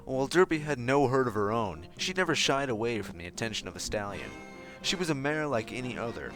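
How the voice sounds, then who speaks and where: A man reads a story as narrator with a very lively, expressive delivery. His voice sits at a middle pitch, and music plays clearly behind him. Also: fairly quick. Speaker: fan fiction narrator.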